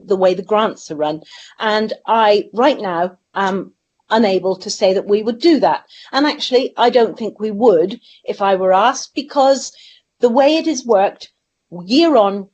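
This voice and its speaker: A woman giving measured, formal answers as a councillor in a council meeting. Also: mid-range voice, darkish tone, lo-fi sound. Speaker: councillor, portfolio holder.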